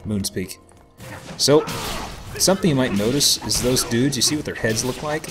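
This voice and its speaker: A man giving casual, somewhat halting commentary as host of a gameplay video, with a self-deprecating manner. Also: medium pitch. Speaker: let's play host. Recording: game music behind voice. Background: video game music.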